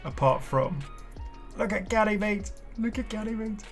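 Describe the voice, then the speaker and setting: A man hosting a casual game showcase, talking in an enthusiastic, rambling way with halting explanations. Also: high voice, lively intonation. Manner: enthusiastic, effusive. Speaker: gaming video creator and host. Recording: music playing behind the voice. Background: clear background music.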